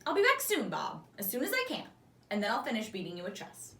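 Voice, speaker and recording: A woman's voice: medium pitch, wildly swooping pitch, fast talker, creaky, fairly bright tone, full character voice. A woman voicing a curious, playful child in a children's storybook. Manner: questioning, playful. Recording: roomy echo, distant microphone.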